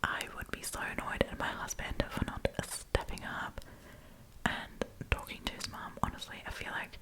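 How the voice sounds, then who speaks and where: A woman doing an ASMR storytime, chatting casually and informally with rambling asides. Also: normal speed.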